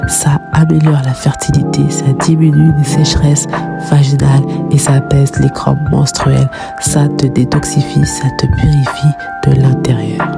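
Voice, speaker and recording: A woman's voice: very deep voice, flat delivery, normal speed, creaky. A woman reading an advertisement in a lilting, sing-song sales tone. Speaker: ad voice-over. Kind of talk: promotional advertisement read. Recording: ad with loud music bed.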